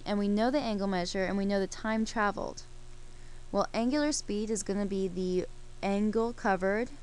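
A woman's voice: medium pitch, a little hiss or hum, low-quality recording. Woman explaining math step by step. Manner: steady, explains step by step while writing. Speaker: math teacher. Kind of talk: screencast math lesson.

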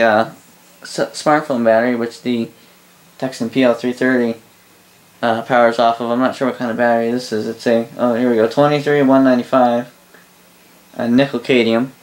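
A man reviews a product off the cuff at a middling pitch that barely moves. His delivery is rambling and halting, with sentences restarted and corrected as he goes. It sounds like a casual home video recorded indoors. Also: rough recording. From Canada. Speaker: host of a radio gear review channel. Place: indoor room full of radio gear.

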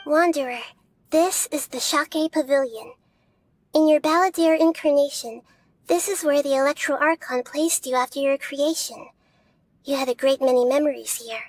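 Woman as a high-voiced wise little goddess. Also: no echo, lightly acted. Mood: calm, explaining. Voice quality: soft, girlish. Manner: measured, polite, explanatory. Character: small wise child goddess, gentle and solemn. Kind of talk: video game voice acting.

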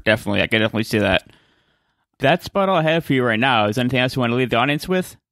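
A man's voice: medium pitch, expressive, racing, a bit bright, no echo, clean studio sound. A man hosting a casual interview as the interviewer.

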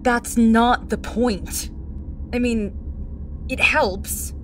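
An actress playing a curious, blunt teenage student in an audio drama. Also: female voice, fairly high pitch, fairly even intonation, drawn-out words, slight background noise.

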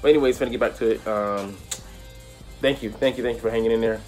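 A man's voice: on the low side, quick pace, soft background music. A young man chatting casually and with lots of slang as a reaction video host, recorded in a small room. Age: young adult. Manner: animated, slangy. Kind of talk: casual vlog reaction chat.

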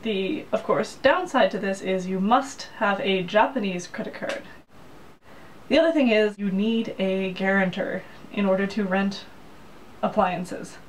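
A woman's voice: mid-range voice, measured pace, far from the mic, low-quality recording. Young woman vlogging, a bit off-mic. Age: young adult. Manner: plain, practical explaining. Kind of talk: casual talk to camera. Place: small room in a Japanese apartment, indoors. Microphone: camera mic, head-and-shoulders framing.

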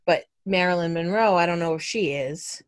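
Low-voiced woman making her points. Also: normal speed, smooth, no echo, studio quality. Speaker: podcast co-host. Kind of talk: casual chat.

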